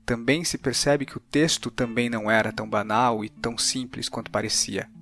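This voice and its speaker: A man's voice: mid-range voice, steady tone, a little fast, fairly bright tone, faint music behind. A man with a Brazilian accent hosting a reflective podcast discussion.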